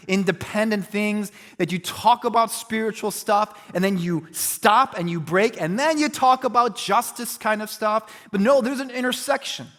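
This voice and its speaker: A man's voice: high-pitched, fairly bright tone, long reverb tail. A man preaching as a pastor, earnest and reflective, talking through a hard question in a conversational way. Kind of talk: sermon.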